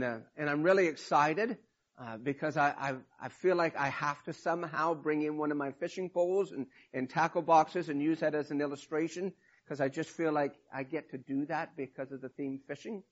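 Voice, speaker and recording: A man preaching a sermon in a hoarse, raspy voice from a cold, talking informally with many restarts. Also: on the high side, muffled recording. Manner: informal, rambling with restarts and fillers. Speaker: pastor.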